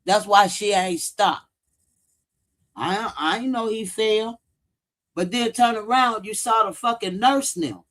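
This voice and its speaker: A man's voice: high voice, takes it slowly, pauses often, fairly bright tone. A man hosts a casual livestream. He talks bluntly, cusses freely and hammers points by repeating them, often answering viewers as he goes. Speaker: true-crime commentary livestream host.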